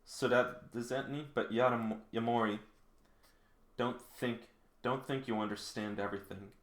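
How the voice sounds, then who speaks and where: A man reading the part of a guarded, bitter female vampire from a manga, in a halting, solemn way. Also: medium pitch, steady tone, lightly acted. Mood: guarded, angry. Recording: roomy, echoing indoor sound.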